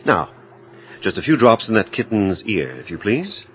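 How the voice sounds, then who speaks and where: An actor playing a self-absorbed scientist in an audio horror drama, speaking in formal, precise, clinical phrases. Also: male voice, lowish voice, big pitch swings, moderate pace. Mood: coolly absorbed. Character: self-absorbed male scientist, coolly clinical, obsessed with his work. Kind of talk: audio horror drama acting with first-person narration. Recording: dull lo-fi audio with faint noise.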